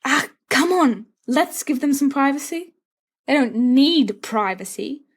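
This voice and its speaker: A woman's voice: sits high, lively intonation, measured pace, voice pushed up. A woman voicing a sensible teenage schoolboy, earnest and exasperated as he reasons, in a storybook reading. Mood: exasperated, firm.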